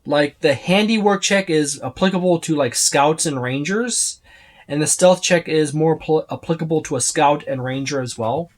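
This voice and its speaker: A man's voice: a little high, moderate pace. A man giving a casual, unscripted walkthrough as a reviewer, rambling and listing items as he browses. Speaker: tabletop game book reviewer.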